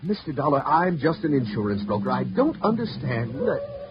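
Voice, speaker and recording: An actor playing a mild, bewildered insurance broker in a radio drama, hesitant and full of questions. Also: male voice, mid-range voice, big pitch swings, moderate pace, lightly acted. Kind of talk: 1950s radio drama acting. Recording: muffled, noisy vintage radio recording.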